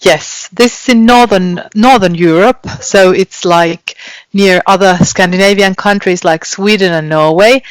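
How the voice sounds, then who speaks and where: A woman whose Finnish accent carries through her careful English, talking patiently like the school teacher she is. She speaks over a video call from her kitchen in a dry, close sound with no room ring. Her pitch sits in an ordinary middle range, and she often pauses on "like" to find her words. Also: no room sound, rough recording. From Finland. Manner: patient, explanatory, frequent "like" fillers and restarts. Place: home kitchen.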